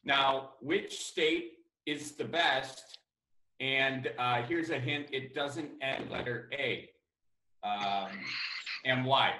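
A man's voice: mid-range voice, bright, forceful tone. A man putting on a folksy Wisconsin character who keeps adding "okay?", with a Wisconsin accent. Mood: mock-earnest. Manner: folksy, drawn-out, tag questions. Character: folksy Wisconsin man, beer-loving, simple. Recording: echoey room, faint noise.

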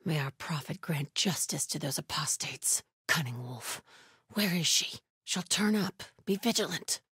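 A devout, watchful female cult scout from a video game. She speaks low and very breathy, often near a whisper, with stern, guarded solemnity. The sound is close and dry. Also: a bit bright, no room sound, heavily acted. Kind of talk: hushed video game stealth dialogue.

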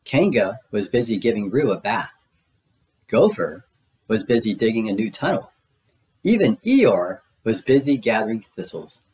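A man's voice: fairly low pitch, very animated, normal speed, darkish tone. A man reading a children's storybook aloud as its narrator.

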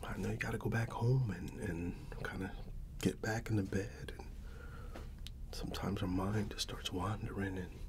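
A middle-aged American man speaking softly and often in a whisper, in a casual, avuncular ASMR roleplay as a barber. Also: lowish voice, fairly even intonation, vocal fry, noisy background. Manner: unhurried, casual, avuncular reassurance. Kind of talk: soft-spoken ASMR personal attention roleplay.

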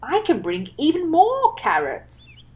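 A woman's voice: high voice, wildly swooping pitch, slow, slight background noise, voice pushed up, exaggerated voice. A woman voicing an eager, insistent young male rabbit in a children's storybook, with emphatic exclamations. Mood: delighted.

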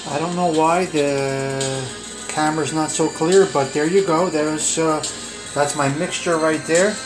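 A fairly high-voiced man talks his way through a home cooking prep, listing ingredients in a loose, chatty way with plenty of "uh"s. He films on a phone in his kitchen while music plays clearly behind him. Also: fairly even intonation, measured pace, poor audio. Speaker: home cook vlogger. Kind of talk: casual cooking walkthrough.

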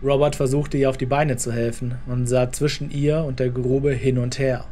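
Ein Mann liest als Erzähler eine Geschichte vom Skript. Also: male voice, medium pitch, flat delivery, moderate pace, warm tone, soft background music. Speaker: narrator. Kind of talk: scripted story narration.

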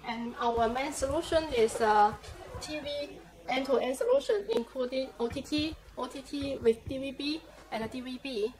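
A young woman guiding visitors through an office and factory, speaking haltingly with many fillers. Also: sits high, darkish tone. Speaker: company guide. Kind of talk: factory tour walkthrough. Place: office and factory floor, indoors. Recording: noisy factory and office background.

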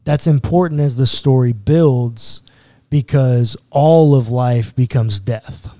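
A man in his thirties, a pastor, earnestly explaining a Bible passage step by step in a podcast conversation, close to a boom mic in a small studio. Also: slow pace, mellow, dry recording. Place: small studio room with bookshelves.

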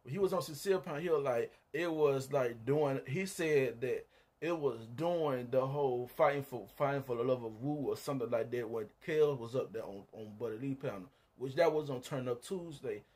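A man with a husky voice chatting casually in a live-stream panel, rambling as he recounts events. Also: mid-range voice, moderate pace, a little mellow. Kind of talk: casual chat.

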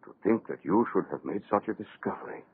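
A subdued army general, super deep, wary and obedient, muffled 1930s radio recording. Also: male voice, lively intonation, fast talker, husky, full character voice. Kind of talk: 1930s radio horror acting.

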